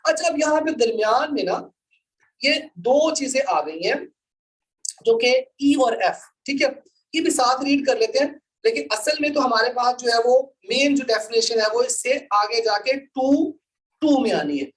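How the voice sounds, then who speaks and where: A woman's voice: a little high, animated, moderate pace, recorded from a distance, rough recording. A woman teaching law to students in a didactic way, repeating her points and mixing in English with her Hindi-Urdu. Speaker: law lecturer. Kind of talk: live lecture to students, explaining and reading out articles.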